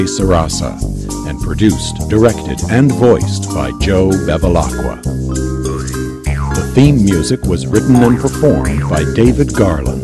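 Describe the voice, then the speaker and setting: Deep male announcer over loud music. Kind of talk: scripted show credits announcement. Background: prominent theme music.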